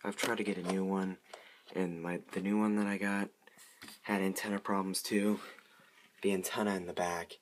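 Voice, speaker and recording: Low, monotone man showing his collection. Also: moderate pace, pauses often, slight background noise. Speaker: hobbyist showing a weather radio collection. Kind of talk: casual product show-and-tell.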